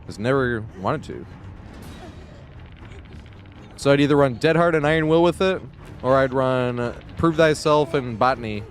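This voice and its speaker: A man's voice: mid-range voice, moderate pace. A man live-streaming a game, giving laid-back, casual commentary with some swearing and talking half to himself.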